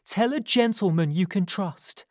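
A woman's voice: mid-range voice, measured pace, dry recording, clean studio sound, voice pushed up, close to an everyday voice. A woman voicing a frightened young woman who speaks in an urgent, pressing way in a storybook reading.

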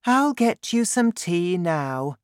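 A woman voicing an anxious, exasperated mother in a storybook, crying out in a high voice. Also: very animated, slow pace, no room sound, clean studio sound. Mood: apologetic. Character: anxious, exasperated mother, protective of her family.

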